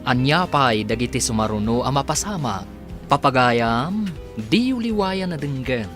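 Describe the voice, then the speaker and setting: A man's voice: mid-range voice, lively intonation, normal speed, over music. A man with a Filipino accent giving dramatic scripted narration as a radio drama announcer.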